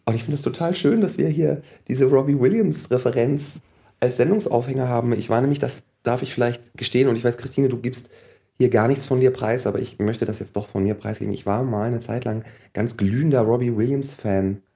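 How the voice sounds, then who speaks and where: A man, a lecturer guest with a cold, explaining things in a relaxed, easy way. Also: medium pitch, brisk, gravelly. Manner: relaxed, explanatory, laughs easily. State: has a cold. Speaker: guest, university lecturer and psychologist. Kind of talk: casual interview answers. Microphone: phone line.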